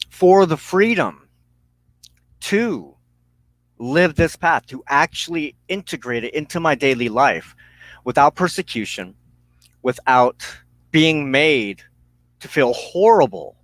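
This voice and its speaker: A man hosting a spiritual broadcast, giving an earnest, reflective monologue in short, halting phrases broken by pauses. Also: mid-range voice, fairly bright tone, no room sound, poor audio. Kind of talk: reflective spoken monologue on personal belief.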